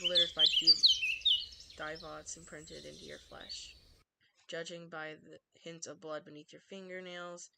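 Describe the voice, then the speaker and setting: A woman's voice: deep voice, vocal fry, very noisy. A woman streaming a visual novel, switching between casual chat and reading the narration aloud, sometimes stumbling and correcting herself.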